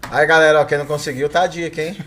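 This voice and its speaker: A man's voice: fairly high pitch, steady tone, rapid-fire, over a noisy crowd. A man with a Brazilian accent hosting a casual interview, reacting with informal, slangy interjections. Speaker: podcast host.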